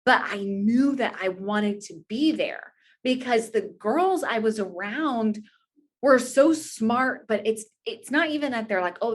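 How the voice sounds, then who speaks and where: Woman, twenties, earnest, talkative, conversational storytelling, mid-range voice. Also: mid-range voice. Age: 20s. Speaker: interview guest, pageant titleholder.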